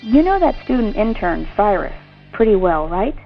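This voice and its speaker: An actress playing a gossipy hospital nurse in an audio soap opera, confiding and tentative in manner. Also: female voice, medium pitch, big pitch swings, moderate pace, gravelly, highs cut off, soft background music.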